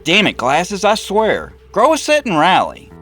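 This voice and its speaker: A man doing a swaggering, high-pitched preacher hustler. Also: wildly swooping pitch, measured pace, fairly bright tone, full character voice. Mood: impatient, goading. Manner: booming, pushy, cocky. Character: brash, boastful male hustler preacher, bullying and swaggering. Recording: music bed under the voice.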